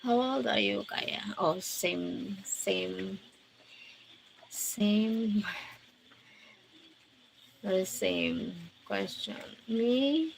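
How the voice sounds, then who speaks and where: A woman in her forties from the Philippines, hosting a casual livestream and slowly greeting viewers by name as she answers their comments. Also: mid-range voice, gravelly, slight background noise, poor audio. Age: 40s. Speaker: livestream host. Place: countryside outdoors at night.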